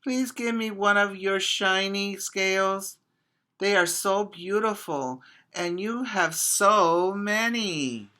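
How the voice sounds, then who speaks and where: A man voicing an eager young little fish in a storybook, pleading and admiring with drawn-out calls. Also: high-pitched, pushed and bright, voice pushed up, full character voice.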